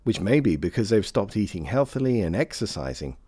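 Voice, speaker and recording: Low-pitched, expressive male training narrator. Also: brisk, breathy edge, dry recording, studio quality. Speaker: narrator of a health training film. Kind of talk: scripted instructional narration.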